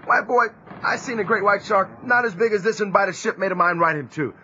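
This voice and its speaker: A man's voice: high-pitched, fairly quick, bright, forceful tone. An actor playing a hearty, superstitious old sailor with a folksy seaman's drawl in an audio drama. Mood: ominous. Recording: dull lo-fi audio, clear background noise.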